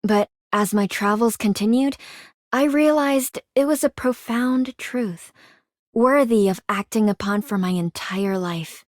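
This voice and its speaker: A woman voicing a principled, composed young woman in a video game, formal and sincere in a measured way. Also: mid-range voice, no room sound, clean studio sound, lightly acted. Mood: solemn, sincere. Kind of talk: video game character voice lines.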